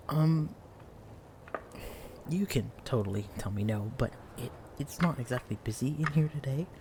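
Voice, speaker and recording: A young man playing a shy, clumsy, bookish librarian in an intimate audio roleplay, speaking in a hesitant, stammering, hushed way. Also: mid-range voice, background noise. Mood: hesitant, hopeful. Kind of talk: intimate audio roleplay acting addressed to the listener. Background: quiet library ambience.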